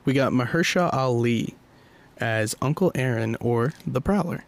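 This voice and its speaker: A man's voice: mid-range voice, moderate pace, gravelly. A man with an ordinary mid-range voice talks casually about movies as a podcast host. He is informal and blunt, and gives his opinions freely.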